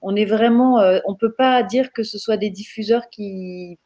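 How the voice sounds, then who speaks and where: A woman's voice: mid-range voice, unhurried, dark tone. A woman panelist talking casually in a discussion, repeating phrases for emphasis.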